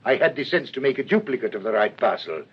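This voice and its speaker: A male actor plays a master thief in priest's guise for a radio play. His mid-pitched voice is measured and condescending, heard on a dull recording with faint noise. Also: sing-song, close to an everyday voice. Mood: smug, mocking. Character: smug, mocking master thief posing as priest. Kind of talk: radio drama acting.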